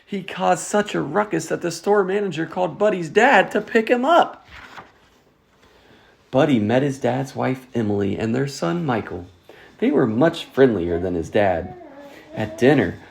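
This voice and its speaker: A storyteller reading a children's picture book aloud in an expressive, scripted way. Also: mid-range voice, measured pace, vocal fry, slight background noise. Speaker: storytime reader.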